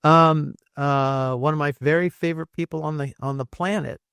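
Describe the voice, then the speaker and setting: A man's voice: fairly high pitch, fairly even intonation, moderate pace, gravelly, a bit bright, smooth, dry recording. A man in his sixties hosting a casual podcast interview, friendly and rambling, with plenty of fillers and restarts. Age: 60s. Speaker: podcast host.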